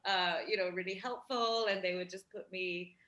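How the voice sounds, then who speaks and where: A woman's voice: medium pitch, fairly even intonation, normal speed, sharp tone, highs cut off. A woman film director speaking on a panel, friendly and rambling with fillers. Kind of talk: casual panel talk.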